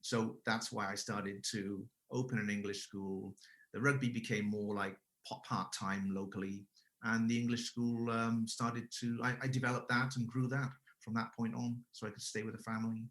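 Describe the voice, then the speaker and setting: A man's voice: on the low side, little pitch movement, normal speed, fairly bright tone. A man in his forties from Wales, a guest telling his career story casually, with frequent restarts and self-corrections. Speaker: guest, English school owner.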